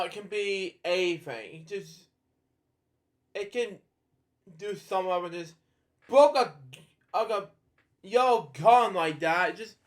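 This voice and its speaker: A man's voice: sits high, a bit bright. A man reading a children's storybook aloud, stumbling, restarting and adding rambling side comments. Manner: halting, with frequent restarts and filler comments.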